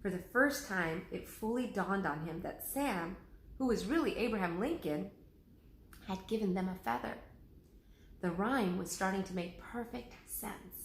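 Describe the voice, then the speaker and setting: A woman, a teacher, reading a children's chapter book aloud with lively, expressive voices. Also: mid-range voice, unhurried, reverberant.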